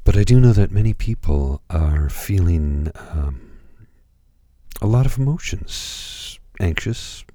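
A hoarse man hosting a radio music show, talking calmly with many pauses and hesitations. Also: sits low, dry recording, studio quality. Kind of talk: slow, calm radio presentation.

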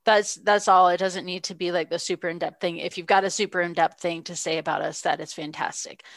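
A woman's voice: fairly low pitch, fairly even intonation, a little fast, gravelly, no room sound. A woman co-hosting a podcast, talking in a reflective, earnest way with frequent restarts. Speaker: podcast co-host. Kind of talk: casual chat.

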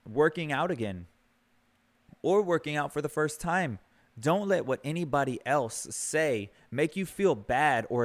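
A man in his thirties talks straight to his listeners in a motivational monologue. His voice sits at an ordinary middle pitch. He speaks earnestly and casually, and he often restarts and repeats words as he builds a point. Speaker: podcast host.

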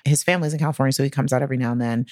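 A woman's voice: very deep voice, rapid-fire, dry recording. Casual chatty woman, very dry close sound. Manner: giggly, animated retelling with acted-out quotes; informal, slangy, peppered with like and swearing. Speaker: podcast co-host. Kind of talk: casual personal storytelling chat.

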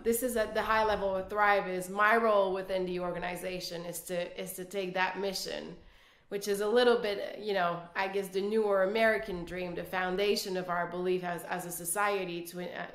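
A woman's voice: on the low side, little pitch movement, moderate pace, a bit bright. A woman from City, a guest speaking earnestly in a podcast interview, with many restarts. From Quebec City, Canada. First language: French. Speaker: guest executive. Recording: roomy echo.

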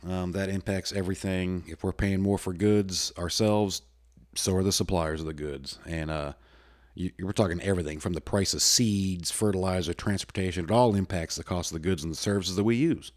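A loud, very low-voiced middle-aged man from the United States hosts his own podcast alone. He rambles in a folksy, plainspoken way, stopping and restarting his sentences often. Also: fairly even intonation, a little fast. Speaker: podcast host. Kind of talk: solo casual podcast talk.

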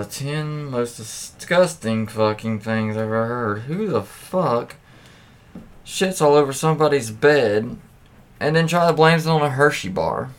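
A man's voice: medium pitch, expressive, slow pace, clean tone. A man hosting a podcast, reading anecdotes aloud casually with informal, profane asides and interjections. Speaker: podcast host. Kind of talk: casual read-aloud of anecdotes with offhand commentary.

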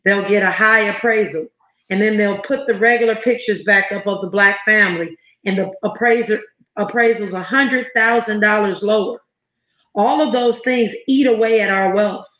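A woman's voice: mid-range voice, normal speed, a bit bright. A woman in her fifties from Southern California, a guest telling her story in an earnest, emphatic way. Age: 50s. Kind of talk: interview conversation. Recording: roomy, slightly muffled sound.

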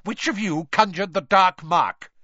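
A man's voice: high voice, unhurried, pushed and bright, no echo, dull audio, voice pushed up. A man voicing a stern, accusing government official in an audiobook, cold and curt, with a sarcastic, interrogating manner. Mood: cold fury.

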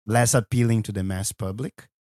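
A man interviewing a guest, pausing often with "um" as he works out his questions. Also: on the low side, steady tone, a little mellow, no echo, studio quality. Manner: halting, searching for words with many "um"s.

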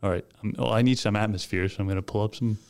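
Young male podcast co-host, very low voice, easygoing and jokey. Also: fairly even intonation, creaky, darkish tone. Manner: laid-back, joking, slow dramatic recitation. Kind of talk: casual chat.

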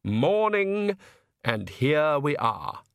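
A man voicing a cheerful, patronising aristocratic vampire father for a storybook, with smooth, deliberate, drawn-out delivery. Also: a little high, sing-song, a bit bright, no echo, clean studio sound, voice pushed up, exaggerated voice. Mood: cheerful, self-satisfied.

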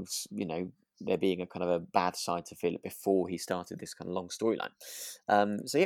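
A man's voice: on the low side, vocal fry, darkish tone, no echo, clean studio sound. A man co-hosting a podcast in casual chat about a radio drama, agreeable, with hesitant, trailing phrasing. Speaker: podcast co-host.

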